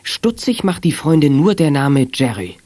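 A man's voice: fairly high pitch, big pitch swings, moderate pace, a little mellow, no echo. A man narrating a children's radio play in a scripted storytelling style.

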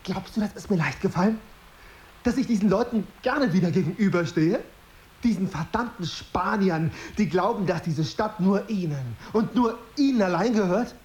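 Intense, brooding young journalist. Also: male voice, high voice, expressive, moderate pace, a little mellow. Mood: agitated, defensive. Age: young adult. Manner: intense, insistent questioning. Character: embittered young male journalist, brooding, suspicious, agitated. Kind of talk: radio drama acting with first-person narration.

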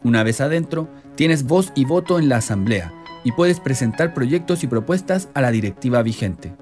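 A man narrates an informational radio segment at a middling pitch. He reads from a script, with soft music underneath. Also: animated, normal speed.

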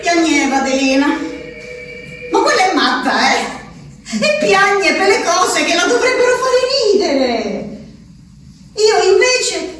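A woman's voice: extremely high pitch, a bit bright, exaggerated voice. An actress playing an unhinged, wistful peasant woman shut in an institution, with an Abruzzese accent, in an incantatory theatrical radio monologue addressed to her absent beloved. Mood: bitter wistfulness. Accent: central-southern Italian, Abruzzese. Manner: slow, incantatory, repetitive delivery. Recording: roomy echo, distant mic, faint noise.

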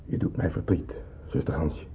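An actor playing a kindly older man in the resistance, earnest and gently persuasive, in a radio drama. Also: moderate pace, close to an everyday voice. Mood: saddened. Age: middle-aged. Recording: muffled, narrow-band old radio play, hissy.

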